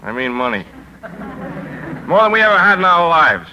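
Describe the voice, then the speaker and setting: An actor playing a middle-aged, hard-driving, cynical newspaper editor in a 1950s radio drama, with a punchy, world-weary delivery. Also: male voice, a little high, big pitch swings, moderate pace, bright, forceful tone, a little hiss or hum, heavily acted, low-quality recording. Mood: drunk, agitated.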